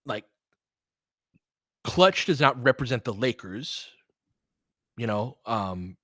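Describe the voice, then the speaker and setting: A man's voice: medium pitch, lively intonation, slow pace, a bit bright, dry recording. American man, candid livestream sports talker, dry sound. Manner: candid and opinionated, swears freely. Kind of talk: casual, unscripted sports commentary chatting with listeners.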